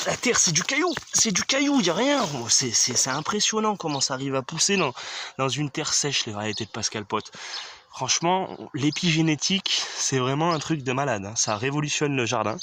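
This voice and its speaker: A man's voice: a little high, fairly bright tone. A man giving a casual, improvised garden tour as a vlogger, speaking in an enthusiastic and emphatic way. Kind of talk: casual improvised vlog commentary. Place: outdoors in a vegetable garden. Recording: clear background noise, intermittent clicks.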